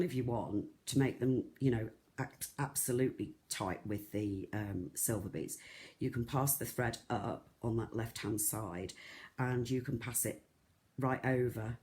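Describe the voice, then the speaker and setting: A croaky, soft-voiced presenter, chatting through a live beading tutorial with lots of ums and restarts. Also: medium pitch. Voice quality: soft, not loud. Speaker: craft shop tutor.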